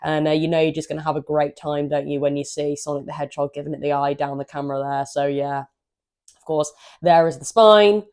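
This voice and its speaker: Hoarse low-voiced woman, casual gaming chat. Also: fairly even intonation, brisk, a little mellow. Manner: rambling, upbeat, loose, stumbles over words. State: hoarse with a cold. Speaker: gaming channel host. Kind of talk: casual chatty walkthrough of a game manual.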